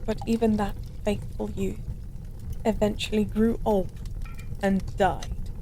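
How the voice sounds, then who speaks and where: A woman's voice: medium pitch, little pitch movement, dark tone, a little hiss or hum. A woman playing an ancient fallen goddess, drunk, weary and resigned, in an intimate, melancholy audio roleplay monologue. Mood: mournful. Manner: slow, brooding, melancholy drunken rambling.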